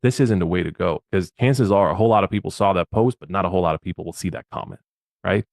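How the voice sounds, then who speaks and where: A man's voice: low voice, fast, creaky, darkish tone, no echo, clean studio sound. A man from Bosnia, a podcast guest, gives social media advice in a casual, emphatic way and often repeats his words.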